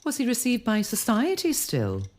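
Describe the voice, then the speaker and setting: A woman's voice: a little high, wildly swooping pitch, moderate pace, darkish tone. A determined castle lady. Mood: thoughtful. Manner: probing, wry, earnest. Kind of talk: period audio drama acting.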